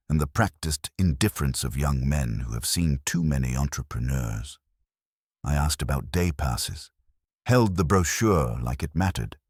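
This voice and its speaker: Really deep guy reading a noir detective tale, wry and lively, bit husky. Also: no room sound, clean studio sound. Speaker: narrator. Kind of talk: scripted first-person detective story narration.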